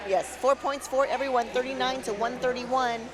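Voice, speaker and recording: A woman's voice: high-pitched, steady tone, normal speed, a crowd in the background, rough recording. A woman co-commentating a roller derby game live, upbeat as she reads out scores and chat messages. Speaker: color commentator.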